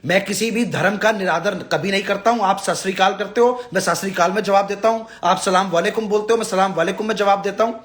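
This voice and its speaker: Guy on a livestream, pretty high voice, blunt and fed up, telling followers off and begging them over and over. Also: fast, few pauses, fairly bright tone. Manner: blunt, scolding, exasperated; emphatic, repetitive pleading. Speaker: visa advisor and live-stream host. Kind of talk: live social media address to followers.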